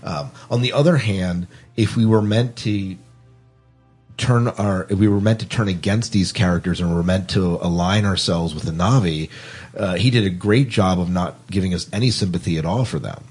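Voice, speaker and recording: Low, husky-voiced man hosting a podcast. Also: normal speed, darkish tone, soft background music. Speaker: podcast host. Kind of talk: casual film discussion.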